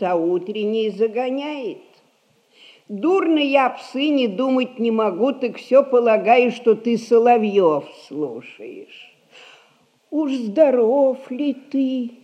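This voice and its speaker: A woman's voice: on the high side, expressive, slow, warm tone, highs cut off. An actress playing a stern, sarcastic old merchant mother in a Russian stage comedy, with sugary needling and old-fashioned speech. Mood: sarcastic, reproachful. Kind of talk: 1960s Russian stage comedy acting for audio.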